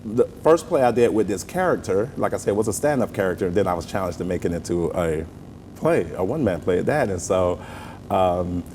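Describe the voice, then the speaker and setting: A man with a Southern US accent talking conversationally as an interview guest, telling stories in an animated, folksy way. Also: brisk. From southern United States. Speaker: interview guest, playwright and stage actor. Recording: roomy long reverb with rumbling noise.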